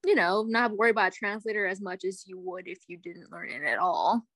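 A woman's voice: medium pitch, fairly quick, a bit bright, dry recording. A young woman in casual chat, encouraging and full of "like" and "you know" fillers.

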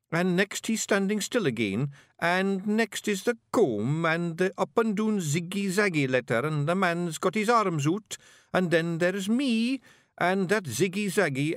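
Give me a man doing a cocky little Scottish fairy chief, loud and blustering. He bosses his clan around, his pitch leaps about, and the sound is close and dry. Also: no echo. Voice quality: broad, blustering, quick to snap. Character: boastful little male fairy clan leader.

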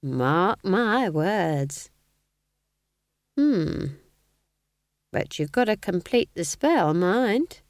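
A woman voicing a sly, cheerful elderly witch who answers with feigned innocence and a drawn-out, teasing delivery. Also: lowish voice, big pitch swings, dry recording, clean studio sound, full character voice.

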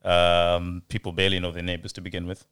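A man with a very deep, smooth voice muses aloud in a casual podcast chat. He hesitates often, with many "um"s and "uh"s, and turns his thoughts into questions for the others. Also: completely flat delivery, fast talker, fairly bright tone. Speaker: podcast co-host.

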